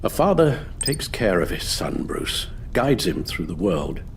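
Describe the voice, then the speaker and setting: An actor playing a weary, sorrowful elderly English butler in a video game, with a British accent and a formal, measured, emotive delivery. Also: male voice, sits low, big pitch swings, creaky, slight background noise, heavily acted. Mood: sad, resigned. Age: older. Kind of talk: dramatic video game voice acting.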